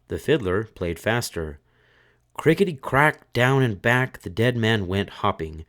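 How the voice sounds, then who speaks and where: A man reading a spooky children's story aloud in a dramatic, expressive way. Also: lowish voice. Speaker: storyteller, channel host.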